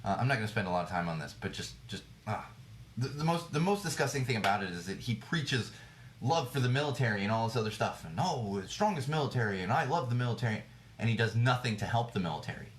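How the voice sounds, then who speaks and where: A man hosting a talk show, giving blunt, indignant commentary on the news, repeating phrases for emphasis, and a little hoarse as he loses his voice. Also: mid-range voice, big pitch swings, fast, echoey room, a little hiss or hum. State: slightly hoarse, losing his voice. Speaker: podcast host. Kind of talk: opinionated news commentary rant.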